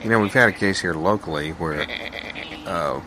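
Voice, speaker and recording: A man playing a deep-voiced, hesitant small-town official. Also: natural voice. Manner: halting, stammering, apologetic. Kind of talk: improvised audio comedy. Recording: clear background noise, informal non-studio room.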